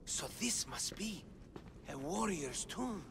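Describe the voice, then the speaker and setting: Here is an earnest young scholar of ancient things in a video game. His pitch sits a little high and swings with eagerness as he explains. The voice rings in a reverberant chamber. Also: sing-song, slow pace, creaky, fairly bright tone, a little hiss or hum, heavily acted. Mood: thoughtful, explanatory. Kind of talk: video game cutscene acting.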